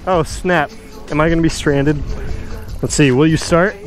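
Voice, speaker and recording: A man's voice: on the high side, fairly even intonation, normal speed, over loud music. A young man talking casually and mocking himself as he rides a motorcycle and a jet ski outdoors. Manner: chatty, self-mocking, laughs often. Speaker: motovlogger. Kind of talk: casual vlog narration while riding. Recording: loud wind and engine noise on action camera. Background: loud engine and wind noise.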